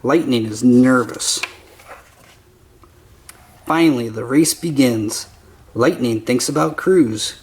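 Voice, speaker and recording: A man reading a children's picture book aloud as narrator, with simple, deliberate phrasing for young listeners. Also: medium pitch, a little hiss or hum. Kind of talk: slow scripted children's story narration.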